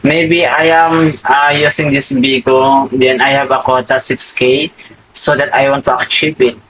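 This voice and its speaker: A man's voice: medium pitch, level tone, a little slow, a bit bright, a little hiss or hum, poor audio. A young man from the Philippines with a Filipino accent, chatting casually on a video call in hesitant English with many restarts. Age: young adult. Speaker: livestream host practising English.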